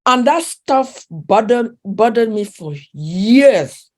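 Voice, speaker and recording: Vrouw met een accent, warm en open. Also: female voice, on the high side, lively intonation, slow pace. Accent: non-native English accent. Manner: earnest, with frequent restarts and soft laughs. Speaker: relationship coach. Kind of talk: conversational interview.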